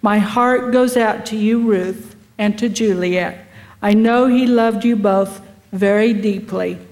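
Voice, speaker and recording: A woman's voice: medium pitch, steady tone, slow pace, a little mellow. A woman hosting a memorial gathering, reading a tribute and addressing those present. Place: memorial gathering at an art center.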